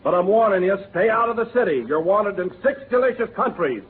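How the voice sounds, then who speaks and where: A man's voice: high-pitched, expressive, brisk, faint music behind. A man playing a stiff, officious police inspector in a 1940s radio comedy. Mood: warning. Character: pompous male police inspector. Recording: tinny old radio broadcast.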